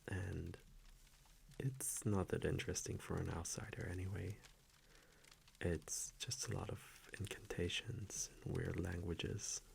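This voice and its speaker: A man playing a gentle, affectionate vampire boyfriend in an intimate ASMR audio roleplay, speaking softly and often dropping into a whisper. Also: low voice, fairly even intonation, slow, pauses often, a little hiss or hum, exaggerated voice. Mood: playful, relaxed. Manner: soft-spoken, breathy, tender, often dropping to a whisper. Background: soft fireplace ambience.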